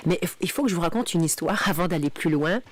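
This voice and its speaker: A woman journalist on a radio panel in the studio, telling a story with lively reactions and questions. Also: lowish voice, fast talker. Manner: lively, expressive, frequent reactions.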